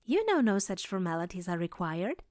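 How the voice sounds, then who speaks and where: A woman playing a gracious, kindly goddess in a fantasy audio drama, gentle and courteous. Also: on the low side, big pitch swings, moderate pace, no room sound.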